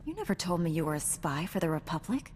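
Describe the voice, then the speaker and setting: A woman's voice: sits low, big pitch swings, quick pace. An actress playing a loyal, caring young female Jedi knight in a video game, speaking in an earnest, reassuring way. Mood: earnest, supportive. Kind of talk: scripted video game dialogue. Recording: long reverb, clear background noise.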